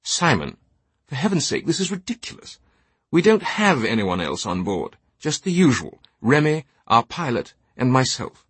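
A man's voice: mid-range voice, big pitch swings, moderate pace, a bit bright, breathy edge, no room sound, pitched above the performer's own voice. A man voicing a jovial, posh older British knight in an audiobook, breezy and blustering with theatrical indignation. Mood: indignant pleading.

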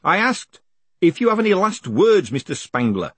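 A man's voice: sits high, sing-song, normal speed, a bit bright, husky, no echo, voice pushed up, heavily acted. A man voicing a chatty, cheerfully businesslike hangman who nags politely in chummy, colloquial speech, as in an audiobook. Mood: polite, prompting.

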